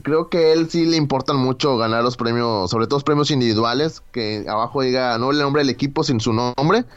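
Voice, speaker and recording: A man's voice: medium pitch, brisk, clear, resonant tone. Hesitant man, dull recording. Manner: hesitant, full of fillers. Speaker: co-host. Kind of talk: casual sports chat.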